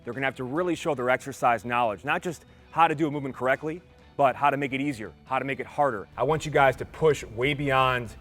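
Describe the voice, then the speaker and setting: A man who is a fitness trainer and judge, coaching in an energetic, pumped-up, motivational way. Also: medium pitch, fast, soft background music. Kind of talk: hyped-up workout coaching.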